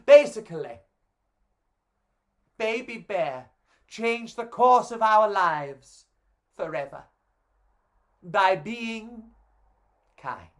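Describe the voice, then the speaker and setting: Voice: mid-range voice, lively intonation, very slow, pauses often, pitched above the performer's own voice. A self-important young fairy-tale starlet, as a children's storyteller plays the part. This storybook girl gushes and boasts, making every sentence a grand speech. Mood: grandiose.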